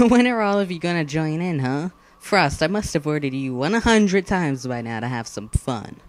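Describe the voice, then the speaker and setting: A man's voice: a little high, expressive, normal speed, natural voice. Man voicing a theatrical, self-assured villain leader. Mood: playful bossy. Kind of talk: scripted animated drama dialogue. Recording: background music under the voice.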